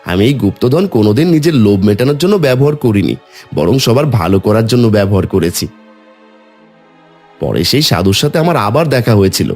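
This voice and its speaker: A man's voice: lowish voice, sing-song, a little fast, a little mellow, soft background music. A man voicing a kind, shrewd and humble woodcutter hero in a cartoon, assured as he explains himself. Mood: confident, triumphant.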